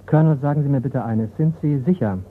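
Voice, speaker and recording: A man playing a patient, persistent investigator who questions in a measured way in a German radio crime drama. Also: medium pitch, close to an everyday voice. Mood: patient, insistent. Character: patient, probing male investigator. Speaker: actor. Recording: muffled, slightly noisy radio play recording.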